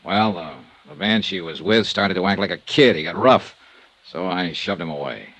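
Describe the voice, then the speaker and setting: An actor playing a tough, plain-spoken insurance investigator in a 1950s radio detective drama, narrating and talking in a clipped, matter-of-fact way. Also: male voice, sits low, lively intonation, normal speed, fairly bright tone, heavily acted, poor audio.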